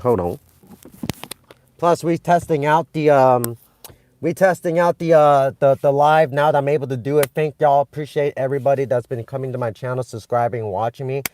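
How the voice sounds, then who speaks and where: Excitable rambling young man on a phone stream. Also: mid-range voice, normal speed, slight background noise. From Vietnam. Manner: excitable, rambling, many restarts and fillers. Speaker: channel host streaming live. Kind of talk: casual live-stream chat with viewers.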